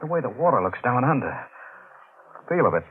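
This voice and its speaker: An actor playing a big, fearful deep-sea diver in a 1940s radio drama, anguished and pleading. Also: male voice, big pitch swings, moderate pace, close to an everyday voice. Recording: tinny, noisy old radio broadcast.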